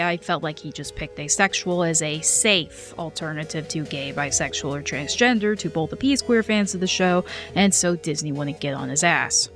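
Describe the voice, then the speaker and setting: A woman narrating a scripted video essay in a measured, critical way. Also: low-pitched, a bit bright.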